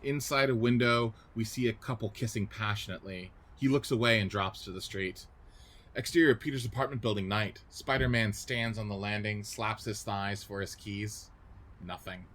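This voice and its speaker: A man's voice: on the low side, moderate pace, a bit bright. A man narrating stage directions in a scripted radio play reading.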